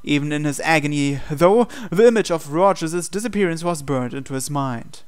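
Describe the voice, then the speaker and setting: A man's voice: fairly high pitch, expressive, normal speed, clear, resonant tone. A man reading a visual novel aloud in a dramatic storytelling narration style.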